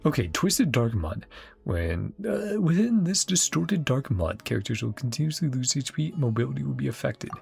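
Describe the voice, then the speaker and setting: A man's voice: mid-range voice, big pitch swings, normal speed, creaky, darkish tone, breathy edge. A man giving casual gameplay commentary, muttering and thinking aloud to himself as he plays. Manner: mutters to self, sings syllables, thinks aloud. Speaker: streamer reacting to a game. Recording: game music behind voice. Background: game music.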